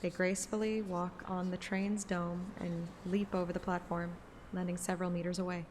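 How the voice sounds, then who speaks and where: Low-voiced woman narrating a story. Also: level tone, moderate pace, a little mellow, a little hiss or hum. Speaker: audiobook narrator and streamer. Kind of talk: scripted present-tense story narration. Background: music.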